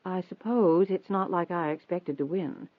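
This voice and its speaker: A man's voice: high voice, moderate pace, dry recording, close to an everyday voice. A man voicing a prim, nervous, proud rich woman in an audiobook, formal and defensive, with an anxious edge. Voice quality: trembling, anxious. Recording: thin phone-like band-limited audio.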